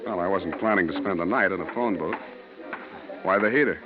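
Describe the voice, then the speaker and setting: A man playing a wisecracking, street-wise American private detective in a 1940s radio drama, speaking in a clipped, deadpan way. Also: sits low, fairly quick, close to an everyday voice. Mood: needling, cool. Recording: dull vintage 1940s radio recording.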